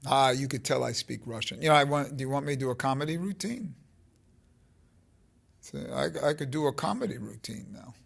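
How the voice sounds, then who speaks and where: A man in his fifties giving an informal spiritual talk to his phone, halting and rambling, with emphatic stresses and a gravelly voice. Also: medium pitch, fairly bright tone. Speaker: spiritual teacher. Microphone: phone held close at arm's length.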